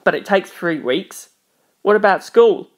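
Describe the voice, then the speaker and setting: A woman's voice: mid-range voice, lively intonation, measured pace, close to an everyday voice, poor audio. A reserved young schoolboy, read by a woman in an audiobook. The manner is plain, earnest and polite. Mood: concerned. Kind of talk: audiobook character voice.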